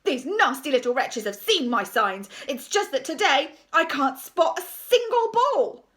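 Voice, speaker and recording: A woman voicing a pompous, hysterical male schoolteacher in a children's storybook, shouting and repeating himself frantically. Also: sits high, big pitch swings, unhurried, voice pushed up, full character voice. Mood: exasperated. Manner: shouting, stammering, frantic repetition. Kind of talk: children's storybook read aloud.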